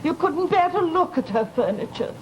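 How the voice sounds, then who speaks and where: A woman's voice: extremely high pitch, very animated, moderate pace. An actress playing a fussy, sentimental landlady in an old radio drama, plaintive, folksy and easily flustered. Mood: dismayed. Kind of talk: 1940s radio drama acting. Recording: hissy old radio recording.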